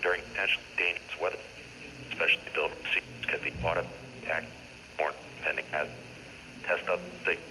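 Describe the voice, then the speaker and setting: A man's voice: rumbling low, noisy background. A man reading a scripted public service announcement in a formal, robotic way over a staticky weather radio.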